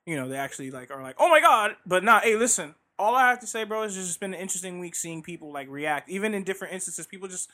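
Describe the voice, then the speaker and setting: A man chatting loosely on a podcast, loud and lively, leaning on slang as he riffs. His voice sits a touch high, and the tone is bright and forward. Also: quick pace, few pauses. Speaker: podcast co-host. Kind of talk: casual podcast chat.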